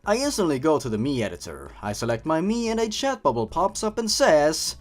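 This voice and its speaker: A man's voice: fairly high pitch, big pitch swings, brisk. A man reading a story aloud and breaking in with sarcastic, mocking commentary as the host. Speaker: host reading and commenting on online horror stories.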